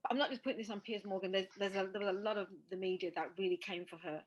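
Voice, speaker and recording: A woman's voice: mid-range voice, steady tone, rapid-fire, muffled recording. A woman hosting a casual discussion podcast, talking emphatically and often repeating words as she thinks. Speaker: podcast host.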